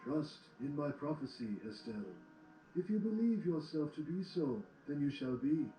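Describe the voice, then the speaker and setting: A man playing a wise, kindly old wizard pony mentor in a fan audio drama, speaking formally and with measured care. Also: a little high, expressive, mellow, close to an everyday voice. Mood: solemn, reassuring. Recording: echoey, faint noise.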